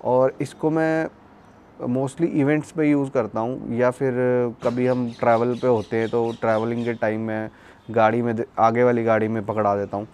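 A man's voice: mid-range voice, flat delivery, moderate pace, darkish tone, a little hiss or hum. A young man gives a casual, explanatory product review in a conversational way, mixing in English words. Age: young adult. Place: outdoors, terrace and courtyard.

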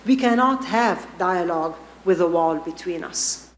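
A woman's voice: on the low side, expressive, a little slow. A middle-aged woman giving a prepared personal statement as a public commenter. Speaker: public commenter at council meeting. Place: public meeting room. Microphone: podium microphone. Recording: echoey meeting room, faint noise.

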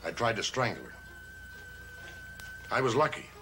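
An older man playing a grave, reassuring grandfather in a gothic soap opera, speaking in a measured, theatrical way. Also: medium pitch, lively intonation, bright, forceful tone, soft background music. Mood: grave confession. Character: grave, reassuring old grandfather of a cursed family. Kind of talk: 1960s gothic TV soap acting. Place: TV studio set of an old house.

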